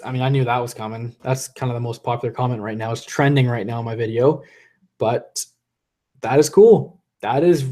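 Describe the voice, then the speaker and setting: A man hosting a casual live stream from home, rambling loosely and swearing as he reads chat, a little tipsy from drinking, into a desk condenser mic. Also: mid-range voice. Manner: rambling, loose and profane, reading chat aloud. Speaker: livestream host. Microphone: desk USB condenser mic.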